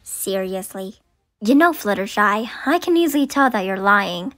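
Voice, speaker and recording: A woman's voice: mid-range voice, lively intonation, normal speed, fairly bright tone, pitched below the performer's own voice, lightly acted. A woman voicing a studious, earnest young pony princess in a cartoon dub, articulate, with music behind. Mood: stern. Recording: music under the voice.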